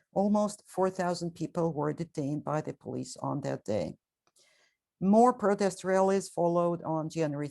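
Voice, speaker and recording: A hoarse middle-aged woman delivers a prepared lecture at a measured pace. Her voice sits low and sounds mellow and dark. She keeps it factual, with small fillers between phrases. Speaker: guest lecturer. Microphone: video call.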